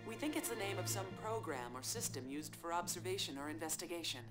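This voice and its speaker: An actress playing a confident, playful female informant in a game cutscene, brisk, assured and teasing. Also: lowish voice, animated, music in the background, lightly acted. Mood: businesslike. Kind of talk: video game cutscene dialogue.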